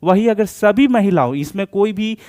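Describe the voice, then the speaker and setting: A man's voice: sits high, lively intonation, normal speed, darkish tone. A man explaining things step by step like a coaching instructor, often checking that students follow.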